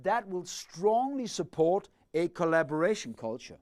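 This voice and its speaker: A man's voice: high voice, expressive, a little slow, a little mellow. A middle-aged man lecturing on leadership, methodically working through the ideas and asking himself questions as he goes. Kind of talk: explanatory lecture.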